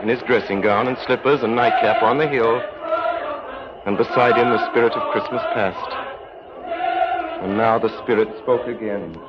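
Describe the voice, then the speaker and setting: A man narrating an old-time radio drama in a measured, literary storytelling manner. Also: mid-range voice, steady tone, heavy background noise. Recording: dull old radio recording, music behind.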